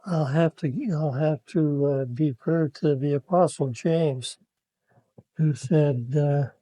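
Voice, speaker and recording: A man on a devotional panel giving slow, halting, thoughtful answers with many pauses. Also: on the high side, steady tone, mellow, no room sound, poor audio. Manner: hesitant, measured, many pauses and fillers.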